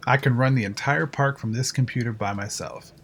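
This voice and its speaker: A man's voice: medium pitch, fairly quick, creaky, fairly bright tone. A man playing a proud, matter-of-fact control-room engineer in an audio drama, explaining with confidence. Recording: music under the voice. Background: music.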